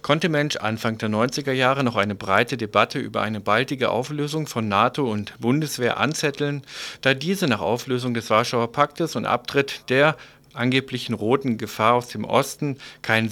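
A male radio presenter with a medium-pitched voice. He reads a written news report in long, formal sentences and leads into the items that follow. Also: normal speed, a bit bright. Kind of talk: scripted news narration.

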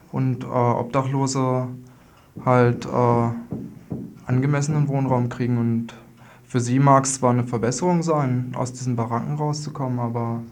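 A man giving spontaneous, colloquial, matter-of-fact answers as a resident in a radio interview. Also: mid-range voice.

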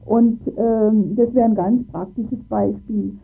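A woman's voice: fairly high pitch, fairly even intonation, slow pace, creaky, slightly rough. Casting: woman, hesitant local interviewee, narrow phone-like sound with faint music. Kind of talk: political discussion in a radio interview. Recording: tinny phone-like band, music behind.

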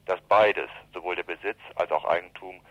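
A man's voice: deep voice, steady tone, moderate pace, dry recording. A man speaking as a spokesman, giving explanatory answers in a telephone interview. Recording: dull, band-limited telephone interview line.

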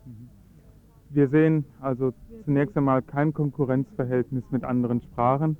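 A man's voice: mid-range voice, steady tone. Earnest German man on tinny tape. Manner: earnest, measured explaining with frequent hesitations. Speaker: Esperanto advocate. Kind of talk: radio interview.

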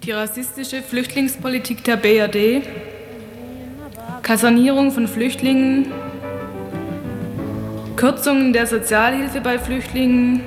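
A woman's voice: on the high side, level tone, a little slow, long pauses. A woman reading a scripted political report in a reverberant recording with music behind her. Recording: echoey, long reverb. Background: prominent music.

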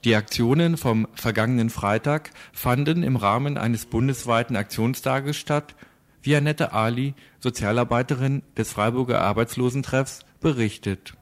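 Male news reporter, medium pitch. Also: normal speed. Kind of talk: scripted news narration.

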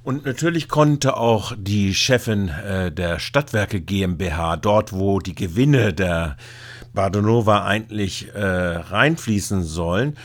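A man narrating a scripted report with commentary, speaking haltingly, with hesitations. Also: low-pitched.

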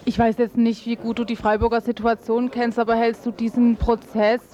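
A woman's voice: a little high, monotone, normal speed, dark tone. A woman radio interviewer putting plain, matter-of-fact questions, recorded with dull lo-fi sound.

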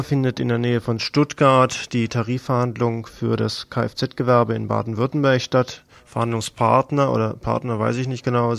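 A man's voice: medium pitch, creaky, no room sound. A man presenting radio news live in a loose, colloquial way.

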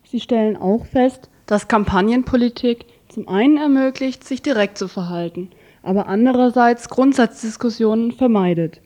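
A woman's voice: on the high side, moderate pace, warm tone. A woman hosting a political radio programme, reading scripted narration. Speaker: radio host.

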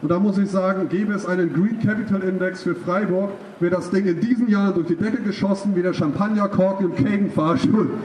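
An echoey, noisy, distant recording of a man speaking at an outdoor demonstration. His voice is high, and he delivers satire in a sarcastic, colloquial way with frequent tag questions. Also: a little fast, few pauses, darkish tone. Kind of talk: satirical rally speech.

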